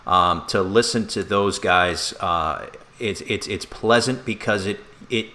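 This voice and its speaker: Casting: male sports broadcaster, low bright voice, casual and conversational co-host delivery with some halting restarts.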